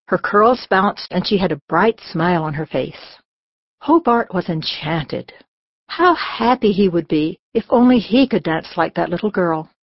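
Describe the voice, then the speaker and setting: A woman reading a children's storybook as an audiobook narrator, in an expressive storytelling manner. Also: mid-range voice, dry recording. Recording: dull, lo-fi audiobook sound.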